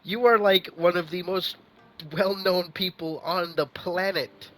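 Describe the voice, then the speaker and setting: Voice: mid-range voice, fairly even intonation, a little slow, fairly bright tone, soft background music, poor audio. A game streamer giving casual reaction commentary, informal and thinking aloud.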